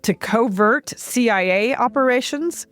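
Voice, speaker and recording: A woman's voice: fairly high pitch, measured pace, a bit bright, soft background music. A woman hosting a policy podcast, reading a scripted intro and asking probing interview questions in a poised manner.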